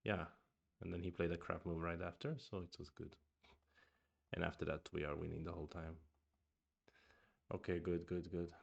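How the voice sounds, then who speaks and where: A man's voice: low voice, little pitch movement, moderate pace, gravelly, pauses often. A man streaming a review of his own go games, thinking aloud in a casual chat with murmured asides and restarts; his first language is Swedish.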